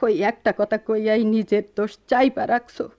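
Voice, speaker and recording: An actress playing a bitter, sharp-tongued wife in a radio drama, nagging in colloquial rural Bangladeshi Bengali. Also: female voice, a little high, flat delivery, a little fast, dull audio, close to an everyday voice. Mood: scornful, aggrieved.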